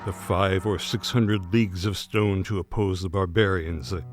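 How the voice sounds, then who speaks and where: A man reading a literary essay in a formal, measured way. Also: low voice, steady tone. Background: plucked guitar music.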